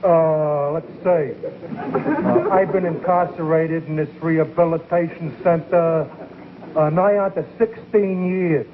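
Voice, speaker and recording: Deadpan convict, thin noisy recording. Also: male voice, fairly high pitch, slow, narrow, tinny audio. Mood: deadpan, matter-of-fact. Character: notorious male convict, long-serving, mild and matter-of-fact. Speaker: comic sketch actor. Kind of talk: 1950s TV comedy sketch acting.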